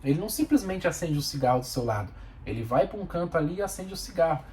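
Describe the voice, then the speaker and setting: A man's voice: on the high side, big pitch swings, fast talker, a little mellow, slight background noise. A Brazilian man speaking Portuguese with a Brazilian accent, a bus driver giving his plain-spoken, opinionated views in a casual vlog and repeating himself for emphasis.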